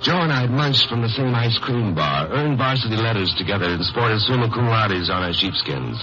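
An actor playing a wry, wisecracking lawyer-sleuth in a tongue-in-cheek 1950s radio crime drama. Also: male voice, on the low side, expressive, brisk, sharp tone. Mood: wry narration. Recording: muffled old radio broadcast, music behind.

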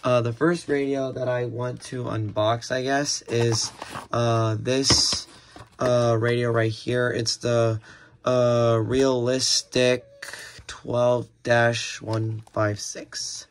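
A man with a mid-pitched voice talks casually as he hosts an unboxing. He is hesitant and rambling and often corrects himself. The indoor recording has noticeable background noise and handling clicks. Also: little pitch movement, takes it slowly. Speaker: unboxing video host. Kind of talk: casual unscripted unboxing commentary. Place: indoors at a table.

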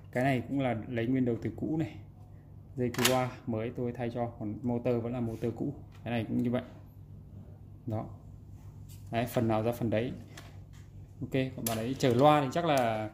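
A man's voice: medium pitch, a little mellow. Man explaining repairs, noisy background. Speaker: repairer presenting a repair video. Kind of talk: casual hands-on repair explanation. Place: indoors at a repair workbench. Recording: background noise, room ambience.

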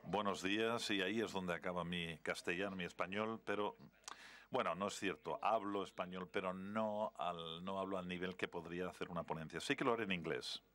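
A man interpreting a formal speech live, halting and correcting himself, with stretched words followed by quicker bursts. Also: sits low, steady tone, a bit bright. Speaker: simultaneous interpreter.

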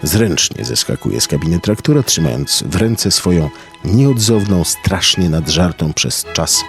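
A man narrating a story in rough, slangy working-class speech. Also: sits low, lively intonation, unhurried, music in the background. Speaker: narrator and solo performer of a radio story. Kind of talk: dialect-heavy storytelling with acted voices.

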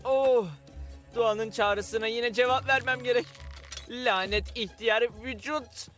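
A man playing a plucky, cocky young boy hero in a dubbed cartoon, confident and expressive. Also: very high voice, slow, faint music behind, exaggerated voice. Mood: grumbling. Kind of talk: animated series dub acting.

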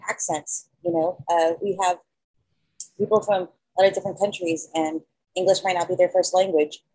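A woman's voice: lowish voice, darkish tone, slightly rough, low-quality recording. A woman on a video call explaining workplace practice, hesitant with many fillers. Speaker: panelist.

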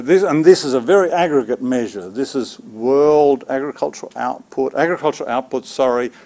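Male, sixties, keynote lecturer at a research seminar. Medium pitch, measured and halting phrasing, podium mic. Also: darkish tone. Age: 60s. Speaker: keynote speaker, agricultural economics researcher. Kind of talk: academic seminar lecture from slides. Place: seminar room with small audience, indoors. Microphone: podium microphone.

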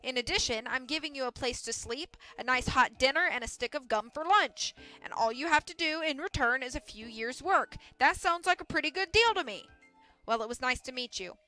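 A woman voicing a blustering, self-satisfied mill owner, a man with a brusque, loud and emphatic delivery, in a storybook reading. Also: sits high, lively intonation, fairly quick, faint music behind. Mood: bossy.

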